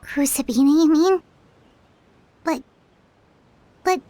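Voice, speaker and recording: Very high squeaky childlike fairy. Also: female voice, slow, mellow, slight background noise. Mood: worried, hesitant. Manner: naive, questioning. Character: tiny childlike fairy companion, innocent, curious and earnest. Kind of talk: cartoonish video game dialogue.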